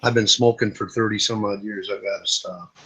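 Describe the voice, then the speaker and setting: A man's voice: on the low side, steady tone, brisk, pushed and bright, breathy edge, rough recording. A man in his fifties chatting casually on a group call, blunt, swearing freely and telling stories. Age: 50s. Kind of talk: casual late-night group chat. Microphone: online group video call.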